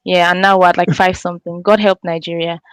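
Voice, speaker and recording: Smooth-voiced young woman. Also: lowish voice, level tone, fast talker, dry recording. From Cameroon. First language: French. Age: young adult. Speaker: interview guest, university alumna. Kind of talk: casual conversational storytelling.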